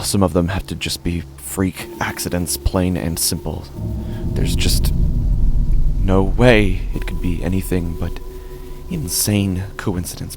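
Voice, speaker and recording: A man performing a horror podcast monologue as an obsessive, unravelling office worker, speaking with deliberate, intense pauses. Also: low-pitched, gravelly, noisy background, exaggerated voice. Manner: deliberate, intense, pausing and repeating words. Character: obsessive, increasingly unravelled male office worker narrating his dread. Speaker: horror fiction narrator. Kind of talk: dramatic first-person horror monologue.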